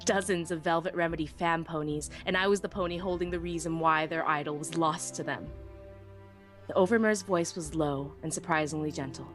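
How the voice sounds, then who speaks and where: A woman playing a small, anxious young female pony who narrates her own story in an expressive, earnest way. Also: deep voice, a little fast, faint music behind, lightly acted. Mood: tense, dread. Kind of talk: dramatic first-person story narration.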